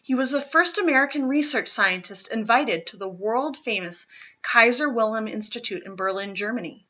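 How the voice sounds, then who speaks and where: A woman with a fairly high, clearly bright voice reads a children's true-story picture book aloud. She phrases deliberately and leans on key words for young listeners. The recording is slightly dull and lo-fi. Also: animated. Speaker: read-aloud reader.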